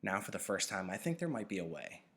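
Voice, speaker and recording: A man voicing a weary, gentle old man who keeps memories, speaking calmly and measuredly in a read-aloud of a novel. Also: low voice, quick pace, breathy edge, heavily acted. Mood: sorrowful.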